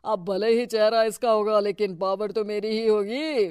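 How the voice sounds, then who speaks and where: A performer plays a self-important cartoon husband who runs local affairs and orders people about. The voice is smooth and clear, at a middling pitch, in a very dry recording. The manner is domineering and crooked. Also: steady tone, normal speed, a little mellow, no echo, lightly acted. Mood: smug. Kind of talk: Hindi cartoon dialogue.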